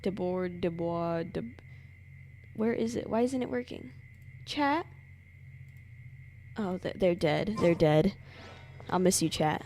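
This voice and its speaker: A woman's voice: on the low side, animated, slow pace, long pauses, mellow. A young woman giving casual, playful live gameplay commentary as a streamer, reacting in exclamations. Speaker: game streamer. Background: game music.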